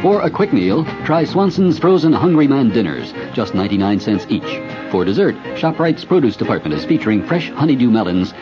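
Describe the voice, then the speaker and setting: A man reading a scripted supermarket radio commercial as an upbeat announcer. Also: medium pitch. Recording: muffled 1970s radio broadcast.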